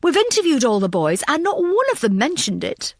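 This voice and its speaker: Narrator doing a woman detective, exasperated, brisk, incredulous, crime audiobook, dry close sound. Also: high-pitched, huge pitch swings, dry recording, pitched above the performer's own voice, exaggerated voice. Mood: shocked, impatient. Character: exasperated senior woman detective demanding answers.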